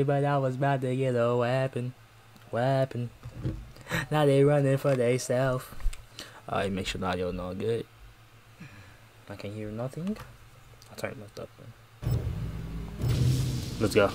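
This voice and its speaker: A young American man giving casual let's-play commentary, slangy and expressive, often repeating himself. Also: medium pitch, a little hiss or hum. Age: young adult. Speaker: gaming YouTuber. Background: game audio and music.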